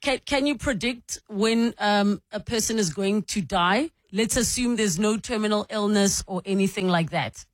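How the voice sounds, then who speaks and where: Woman for a radio call-in host, brisk friendly moderator, dry studio mic. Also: medium pitch, no room sound.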